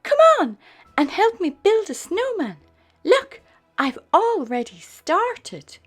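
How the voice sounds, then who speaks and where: A woman's voice: very high voice, very animated, takes it slowly, voice pushed up, exaggerated voice. A woman voicing a cheerful, bossy young girl in a storybook reading. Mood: bright.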